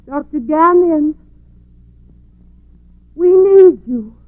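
A woman's voice: extremely high pitch, expressive, drawn-out words, dry recording, narrow, tinny audio, exaggerated voice. An actress playing an earnest, insistent woman in a 1940s radio murder mystery. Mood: firm. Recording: thin, dry old radio recording.

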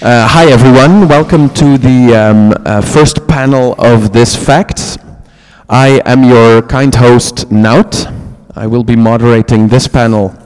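A man with a Dutch accent moderating a convention panel, halting and self-deprecating, full of hesitations. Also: mid-range voice, a little mellow, clean tone. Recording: echoey hall.

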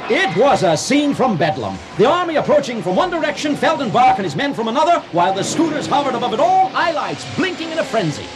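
An actor playing an earnest storyteller, narrating a radio drama in a dramatic, mock-serious manner. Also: male voice, very high-pitched, big pitch swings, fast talker, hardly any pauses, loud music behind the voice. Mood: excited.

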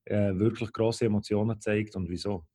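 Swiss German man hosting, low-ish warm voice, measured and thoughtful over a video call. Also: fairly even intonation. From Switzerland. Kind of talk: casual group call.

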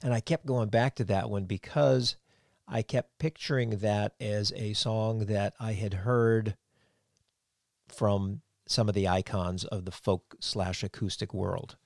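A man's voice: lowish voice, fairly even intonation, measured pace, creaky, darkish tone, dry recording, clean studio sound. A man hosting an interview, talking at length in long run-on thoughts and complimenting his guest. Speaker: podcast host, former sound engineer. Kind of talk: casual interview chat.

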